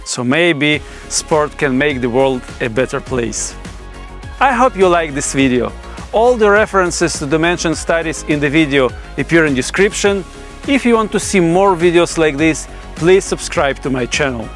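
Male presenter, 40s, voice a bit on the high side, formal academic scripted delivery, light music underneath. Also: lively intonation, normal speed. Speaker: presenter explaining research. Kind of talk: scripted explainer narration. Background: background music.

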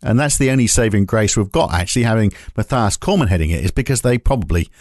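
A man's voice: fairly low pitch, animated, fast talker, darkish tone, dry recording, clean studio sound. A man hosting a business podcast, giving sardonic, conversational commentary before introducing his guest. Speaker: podcast host. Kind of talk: opinionated commentary and interview.

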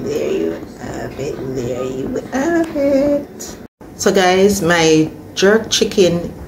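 A woman's voice: sits low, background noise. A woman talking viewers through her cooking in a home kitchen, often repeating herself. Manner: talks to viewers while cooking, repeats phrases. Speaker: cooking channel host. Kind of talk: casual cooking narration. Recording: echoey kitchen with frying sizzle.

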